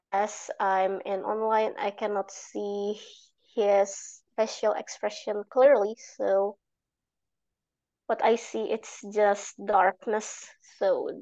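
A young woman with an Indonesian accent giving halting, simply phrased speech feedback as an evaluator on a video call. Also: medium pitch, a little mellow. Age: young adult. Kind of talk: spoken speech feedback at a club meeting.

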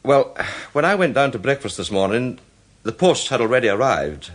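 Radio drama polite, apologetic man, husky, with swooping intonation. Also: medium pitch, big pitch swings, normal speed. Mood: earnest, hesitant. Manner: hesitant, apologetic. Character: polite, hesitant, earnest gentleman with a worry. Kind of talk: British radio drama acting.

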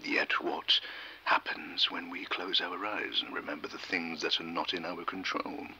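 A man delivering a dramatic scripted monologue in solemn, halting short phrases. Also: low-pitched, level tone, bright, forceful tone, a little hiss or hum, rough recording.

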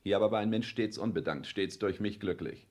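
Casting: male actor as haughty, calculating business upstart for a sixties radio comedy, lowish voice, pompous declamation. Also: a little fast, a little mellow, close to an everyday voice. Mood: self-satisfied. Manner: declamatory, pompous, clipped commands. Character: cold, arrogant, calculating social-climbing businessman. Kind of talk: 1960s German radio play acting, stage diction.

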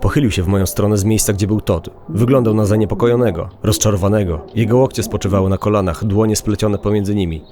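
A man with a fairly low voice narrates a dramatized horror-comedy podcast in the first person. A clear bed of crowd-like sound runs behind him. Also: steady tone, fast, hardly any pauses.

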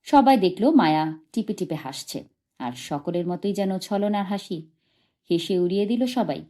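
A woman reading literary fiction aloud as an audiobook, in expressive scripted narration. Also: medium pitch, normal speed. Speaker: audiobook reader.

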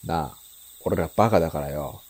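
An actor playing a scornful, aggressive teenage delinquent in a Japanese radio drama, needling in rough slang with a raspy voice. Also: male voice, very deep voice, moderate pace, a little mellow.

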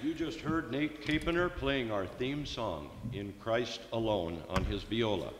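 An older man addresses a congregation like an old-time radio host. His delivery is measured and declamatory. The voice rings through a large, echoing church with some faint noise behind it. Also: medium pitch. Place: large church sanctuary, speaking at lectern. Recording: echoey church hall with background noise.

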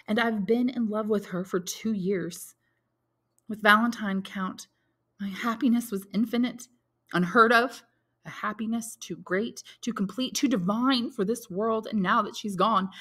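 A woman's voice: medium pitch, a bit bright, slightly rough, voice pushed up. A woman reading aloud and voicing a lovesick young male soldier in despair, speaking with raised, impassioned pleading.

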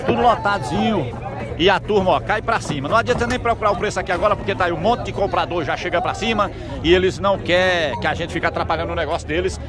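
A man talks fast and high as he walks an outdoor livestock market with a handheld mic. He chats with traders and keeps greeting people, upbeat and repetitive. A crowd chatters loudly all around him. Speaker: market reporter and video host. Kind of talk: on-the-spot interviews while walking.

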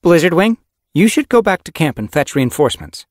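Casting: senior she-cat mentor, played by a narrator, commanding and firm, raised pitch, animal audiobook. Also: sing-song, fairly quick, dry recording, studio quality. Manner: authoritative, instructing.

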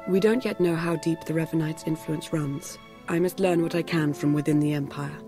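An actress playing a cool, composed female intelligence operative in a video game, speaking in a measured, formal, understated way. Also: sits low, fairly even intonation, music in the background, close to an everyday voice. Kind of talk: scripted video game cutscene acting.

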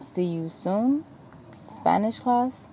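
An actress playing a reserved, secretive young exchange student from Cuba, speaking hesitantly in a naturalistic web drama. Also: female voice, mid-range voice, animated, drawn-out words, warm tone, heavily acted. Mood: casual. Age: young adult. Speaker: actor in a web series. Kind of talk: naturalistic short-film acting. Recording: location sound with clear ambient noise.

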